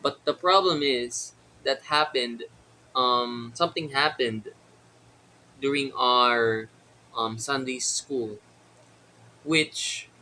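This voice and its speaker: A young man with a Filipino accent talks slowly to his viewers, stopping often and filling the gaps with ums. His voice is bright and forward. A faint hiss sits under the recording. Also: medium pitch, low-quality recording. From Philippines. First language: Tagalog. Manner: hesitant, many pauses and fillers. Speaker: vlogger. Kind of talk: casual solo talk to camera.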